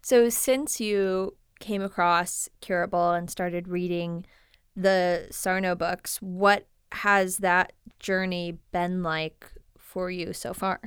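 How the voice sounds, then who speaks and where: A woman interviewing a guest, asking measured, open questions. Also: on the low side, steady tone, clean tone, no echo, studio quality. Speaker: podcast interviewer.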